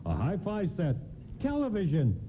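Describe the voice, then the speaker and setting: A man's voice: high voice, huge pitch swings, moderate pace. An actor in a radio play playing a kindly, courteous and reassuring department store doorman. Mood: eager, helpful. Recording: lo-fi, tinny, noisy radio broadcast.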